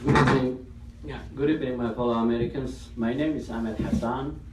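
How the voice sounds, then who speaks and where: A man from Somalia with a Somali accent, an elected official giving plain, halting personal testimony at a public meeting. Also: medium pitch, fairly even intonation, background noise. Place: city council meeting room. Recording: echoey hall, voice from far off.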